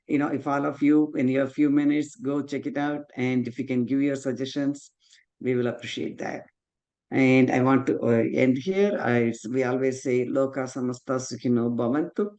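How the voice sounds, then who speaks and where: A man presenting his company's products in a webinar over a video call, speaking in a steady, plain and slightly halting way. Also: medium pitch. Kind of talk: webinar talk.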